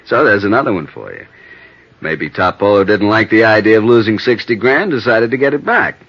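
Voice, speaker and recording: An actor playing a wry, world-weary police detective sergeant in a clipped, matter-of-fact 1950s radio drama style. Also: male voice, fairly low pitch, very animated, normal speed, fairly bright tone, exaggerated voice. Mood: dryly wry. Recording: muffled old-time radio recording.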